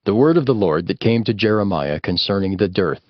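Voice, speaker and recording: A man giving measured, formal scripted narration as an audiobook chapter announcer. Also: lowish voice, lively intonation, no room sound. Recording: dry but slightly dull studio sound.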